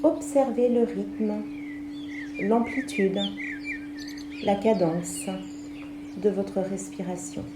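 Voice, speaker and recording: Female meditation guide, medium pitch, calm measured instructions with pauses, reverberant room with noticeable background noise. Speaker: yoga nidra instructor.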